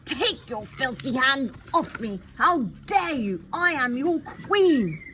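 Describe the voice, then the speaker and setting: A proud, middle-aged storybook queen, put on by a woman reading aloud, shouting commands in a high, strained voice. She stays imperious and indignant, her voice leaping up and down as she protests. The faint background hiss of a plain home recording sits behind her. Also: big pitch swings, takes it slowly, full character voice.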